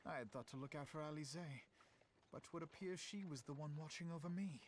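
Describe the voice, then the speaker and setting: An earnest, proud young scholar from a video game, acted by a man. He has a fairly high male voice and formal, regretful phrasing, with slight noise in the background. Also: fairly quick, natural voice. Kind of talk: scripted game voice acting.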